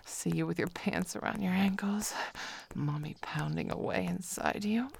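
A woman playing a dominant, possessive lover in intimate adult roleplay audio, mostly in a breathy whisper with drawn-out sighs between short phrases. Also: fairly low pitch, slight background noise. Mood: commanding, aroused.

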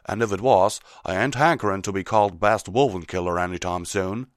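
A man's voice: sits low, a little fast, no room sound, studio quality. Man doing a folksy country mare. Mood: reluctant. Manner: folksy, blunt. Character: plain-spoken, steady country mare, a cartoon farm pony.

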